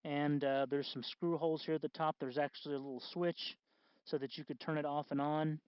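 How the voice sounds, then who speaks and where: A man with a slightly high voice talks through a hands-on tutorial. He is casual and unscripted, often halting to restart and correct his phrases. The sound is somewhat dull and very dry, as if there were no room around him. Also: little pitch movement, clean tone, no echo. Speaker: host of a DIY costume electronics tutorial.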